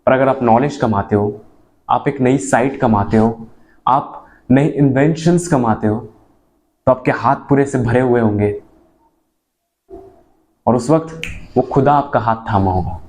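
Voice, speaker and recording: A young man speaking an earnest motivational monologue to his viewers, mixing in English phrases and swinging between emphatic and low moments. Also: measured pace, pauses often, a little mellow. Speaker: motivational vlogger.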